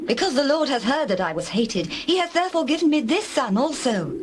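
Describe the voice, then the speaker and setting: A woman acting as a devout young wife who feels unloved, in a scripture audio drama. Her voice is fairly high, with earnest, formal delivery. Birds and cooing doves are clearly audible behind her. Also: sing-song, brisk, noisy background. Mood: hopeful yearning. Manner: earnest, formal, archaic diction.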